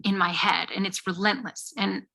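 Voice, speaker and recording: A bright, forceful voice gives us a young woman anxious about pressure in her head. She vents in halting, emotional bursts, sharp at the edges. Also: mid-range voice, flat delivery, vocal fry. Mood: frustrated. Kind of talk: short comedy film acting.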